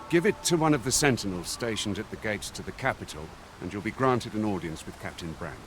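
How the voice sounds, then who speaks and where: Guy voicing a polite knightly soldier type, old timey formal talk, really animated pitch, music behind, fantasy game. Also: close to an everyday voice. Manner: formal, courteous, old-fashioned phrasing. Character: courteous soldier escorting travellers in a medieval fantasy world. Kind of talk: video game voice acting, archaic formal speech.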